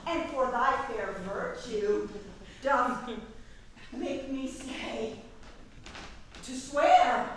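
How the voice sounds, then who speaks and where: Lofty woodland fairy female actor, stage comedy. High, unhurried, theatrical, with heavy room echo. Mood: enamored. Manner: theatrical, deliberate. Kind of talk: staged reading of Shakespeare comedy. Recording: roomy echoing hall, distant mic, faint noise.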